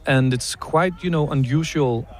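A man's voice: fairly high pitch, measured pace, a little mellow. Chatty man interviewing outdoors. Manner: friendly, chatty, with many fillers. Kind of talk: casual interview. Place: city park outdoors.

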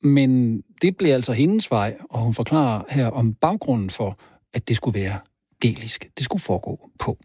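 A man presenting a radio segment in a calm, scripted way. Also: mid-range voice, normal speed, husky, dry recording, clean studio sound.

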